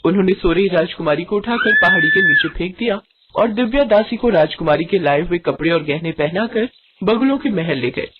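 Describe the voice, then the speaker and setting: A woman telling a storybook fairy tale in scripted narration, with an even, measured delivery. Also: fairly low pitch, narrow, tinny audio, very noisy.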